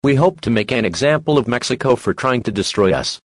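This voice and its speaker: A man's voice: medium pitch, lively intonation, a little fast, breathy edge, dry recording. Formal male emergency alert announcer, dry sound. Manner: formal, impersonal. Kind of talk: scripted emergency broadcast announcement.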